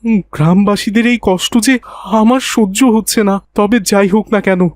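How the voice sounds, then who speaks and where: Cartoon role: kind, devout fifteen-year-old boy acted, deliberate and sincere, medium pitch, dry recording. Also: fairly even intonation, a little mellow, no room sound, close to an everyday voice. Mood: worried, resolved. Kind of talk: cartoon voice acting.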